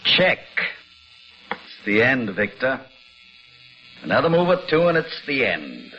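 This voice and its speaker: An actor playing a sadistic, pompous chess-obsessed villain in an old-time radio drama, with mocking, precise, theatrical diction. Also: male voice, mid-range voice, very animated, slow pace, slight background noise. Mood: gleeful, triumphant. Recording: muffled old radio recording with music.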